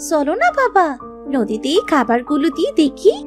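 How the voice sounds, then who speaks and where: A woman's voice: sits high, sing-song, normal speed, over music. A woman voicing a sweet, eager little girl, affectionate and pleading, in a children's cartoon. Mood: pleading, cheerful.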